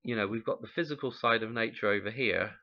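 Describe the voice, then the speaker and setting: A man, croaky from a cold, rambling through a casual explanation and often checking in with "okay?". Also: on the low side, fast, lo-fi sound. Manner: rambling, musing, frequent "okay?" check-ins. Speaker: channel host explaining number codes.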